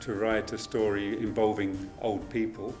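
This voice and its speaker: Lowish-voiced older Englishman. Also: flat delivery, moderate pace, darkish tone, soft background music. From London, England. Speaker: film course student. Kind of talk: interview talk.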